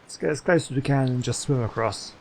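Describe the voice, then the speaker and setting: A man's voice: mid-range voice, fairly quick, vocal fry, slight background noise. A man giving casual, chatty commentary while playing a game, thinking aloud as he goes. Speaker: gaming host.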